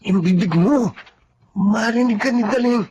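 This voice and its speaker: A man's voice: high voice, a little slow, darkish tone, lo-fi sound, a little hiss or hum. An actor playing an irritable, wayward young man in a Filipino radio drama, grumbling and peevish. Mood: irritated. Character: irritable, wayward young man who drinks and uses drugs.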